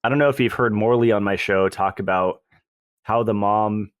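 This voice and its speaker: Low-voiced male podcast host, dry sound. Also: normal speed, no echo. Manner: relaxed, laughs between remarks. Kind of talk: casual interview chat.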